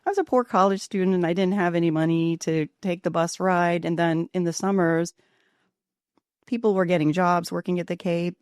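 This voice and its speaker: A woman's voice: fairly low pitch, fairly even intonation, normal speed, darkish tone, smooth, no room sound, studio quality. A woman from Pennsylvania hosting a podcast, asking curious questions and laughing easily as she chats. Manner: friendly, curious interviewer who laughs often. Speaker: podcast host. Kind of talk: casual interview talk.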